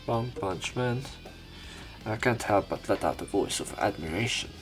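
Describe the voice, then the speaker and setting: Low-voiced man narrating a visual novel. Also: measured pace, soft background music. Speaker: let's play narrator. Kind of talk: reading visual novel narration aloud, with commentary.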